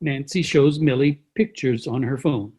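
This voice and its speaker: A man serves as narrator for a play reading. His voice is medium in pitch and somewhat raspy. He reads short, scripted stage directions. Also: a little slow, darkish tone. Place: online video-call play reading.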